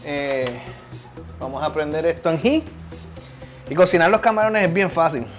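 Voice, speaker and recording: Male cooking host, Puerto Rican accent, fairly high voice, casual and joking, clear music behind him. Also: moderate pace. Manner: joking, colloquial. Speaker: chef and cooking show host. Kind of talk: casual chat while demonstrating cooking. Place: home kitchen.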